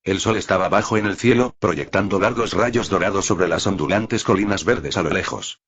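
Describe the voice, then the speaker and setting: Male narrator for prose fiction: deep register, husky edge, slightly muffled lo-fi sound. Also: normal speed, fairly bright tone. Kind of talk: scripted audiobook narration of fan fiction.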